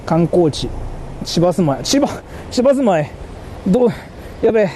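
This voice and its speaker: A man from Japan with a fairly high voice talks casually to his livestream audience. He speaks in informal slang and often repeats his phrases. Clear city and vehicle noise surrounds him outdoors. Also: very animated, a little slow, warm tone. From Japan (Ichihara). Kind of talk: casual livestream chat to viewers. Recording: noisy urban street with traffic.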